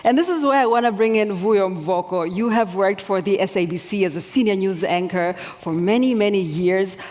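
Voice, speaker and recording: A woman from Tanzania moderating a conference panel, asking brisk, clear questions in front of a live audience. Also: mid-range voice, darkish tone. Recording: long reverb of a conference hall.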